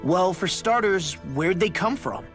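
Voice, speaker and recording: An actress playing a blunt, hot-tempered young adventurer in a video game, direct and challenging. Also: female voice, lowish voice, expressive, a little slow, soft background music. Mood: skeptical. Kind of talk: video game cutscene voice acting.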